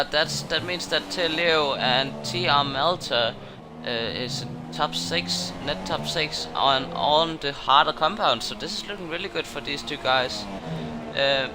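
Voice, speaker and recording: Guy calling an online racing league, gets loud and high on crashes, engines roaring behind. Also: measured pace. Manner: excitable, rises sharply on incidents. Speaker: race commentator. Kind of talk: sim racing commentary. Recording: noisy game audio behind. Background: racing game engine noise.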